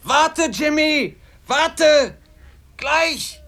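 An actor in a German radio drama playing a small, frantic, devoted sailor who cries out urgently and pleadingly. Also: male voice, extremely high pitch, animated, drawn-out words, sharp tone, full character voice. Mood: desperate, pleading. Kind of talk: German radio drama acting with narrated passages.

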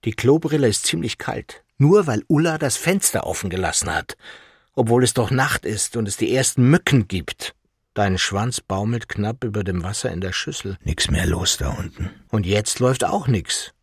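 An old, forgetful professor in a literary audiobook. The voice is low and very husky, recorded dry and close. He talks to himself in a measured, crude and self-pitying way. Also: animated, dry recording. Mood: wry, resigned. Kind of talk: literary second-person monologue.

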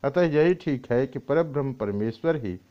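A man reading scriptural commentary and verse aloud in a deliberate, measured way with pauses. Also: mid-range voice, animated. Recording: dull lo-fi recording.

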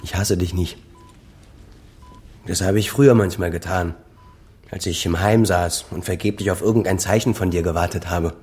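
An actor playing a bitter, wounded grown son who speaks in a reproachful, sardonic way in a radio drama. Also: male voice, deep voice, fairly even intonation, normal speed, long pauses, a little mellow, natural voice. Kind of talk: German radio drama dialogue.

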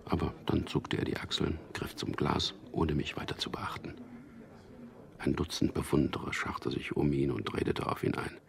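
Suave gravelly-deep sardonic man. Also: fairly even intonation, normal speed, in a whisper, exaggerated voice. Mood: detached narrating. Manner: suave, ironic, understated. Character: sardonic charming ad copywriter and womanizer, cool, detached narrator. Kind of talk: German radio drama acting with intimate first-person narration.

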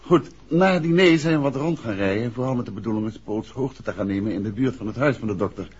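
A man's voice: mid-range voice, a little mellow, breathy edge. An actor playing a composed, courteous male amateur sleuth and affectionate husband in a 1960s Dutch radio drama. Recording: dull, muffled 1960s radio recording.

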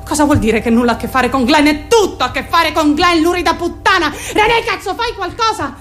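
A woman's voice: extremely high pitch, a little fast, a bit bright, faint music behind. An actress playing an unstable, obsessive, desperate pregnant young woman in a raw, intense radio drama monologue. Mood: furious, hysterical. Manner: raw, volatile, swinging from murmurs to screams.

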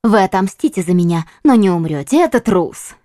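A scheming, cold-hearted noblewoman speaks in a raised, softened voice with a dark, mellow tone, pressing and commanding, as a male narrator puts her on. The recording is dry and close, with no room sound. It is an audiobook reading. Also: high voice, sing-song, a little fast, no echo, pitched above the performer's own voice. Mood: eager. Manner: urgent, commanding, insistent.

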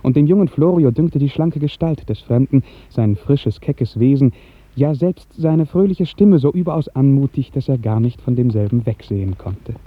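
A man narrating a literary radio drama in a measured, lyrical 1950s storytelling style. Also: medium pitch, animated, husky, no room sound. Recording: muffled old 1950s radio recording.

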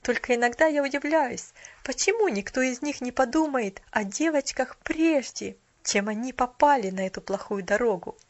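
A woman's voice: a little high, normal speed, hardly any pauses, muffled recording, natural voice. A woman voicing a tired young shop cashier who speaks coolly with a bitter edge. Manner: cool, tired, faintly bitter.

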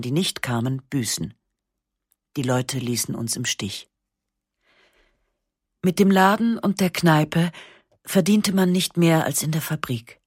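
A woman reading a first-person literary memoir for radio, calm and measured, with slow, matter-of-fact pauses. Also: low voice, breathy edge, dry recording, studio quality. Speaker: narrator.

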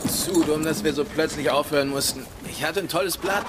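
An actor playing a grumbling, plain-spoken soldier on a night search, in a German children's radio drama. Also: male voice, on the high side, brisk, natural voice. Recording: loud outdoor noise with hoofbeats. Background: clopping horses outdoors.

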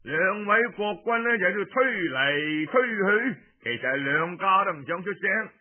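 A man tells historical tales as a traditional oral storyteller, colloquial and theatrical, drawing out his phrase endings with pauses. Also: sits high, animated, narrow, tinny audio, a little hiss or hum.

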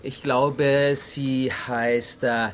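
A man playing an edgy, evasive businessman in a radio play, halting and defensive. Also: mid-range voice, little pitch movement. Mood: uneasy. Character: edgy, evasive businessman, fussy about his routines. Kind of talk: radio drama dialogue.

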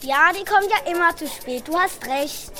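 A childlike performer playing an impatient schoolgirl friend in an amateur school radio play, with a simple, slightly halting delivery. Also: very high-pitched, expressive, bright, forceful tone, full character voice. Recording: noisy amateur school recording.

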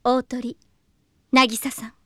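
High-pitched, haughty rich girl. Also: level tone, very slow, noisy background. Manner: imperious, refined ladylike diction. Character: proud, haughty rich teenage girl, would-be idol. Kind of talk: Japanese anime audio drama acting.

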